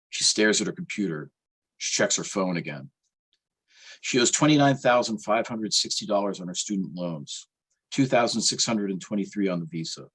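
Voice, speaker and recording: A man's voice: on the low side, breathy edge. A man reading aloud from his own novel as its author, in a wry literary storytelling style.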